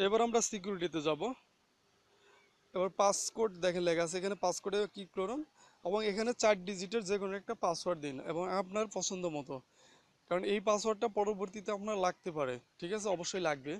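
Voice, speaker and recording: High-pitched man giving a tutorial. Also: fast, no room sound. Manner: instructional, often checking with "okay?". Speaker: tutorial host. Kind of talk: casual step-by-step phone app screen tutorial.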